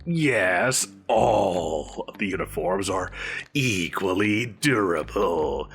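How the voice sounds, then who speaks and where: A man's voice: sits low, expressive, takes it slowly, a bit bright, faint music behind, full character voice. An actor playing a pompous, flustered supervillain boss in an audio roleplay, theatrical and haughty, stammering when he gets defensive. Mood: defensive, justifying. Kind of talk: scripted audio roleplay acting.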